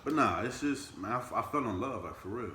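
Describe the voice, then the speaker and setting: A man in his thirties, co-hosting a podcast in casual chat with his partner, animated and jumping up high in pitch when he teases. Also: fairly quick, echoey room, a little hiss or hum. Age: 30s. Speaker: podcast co-host.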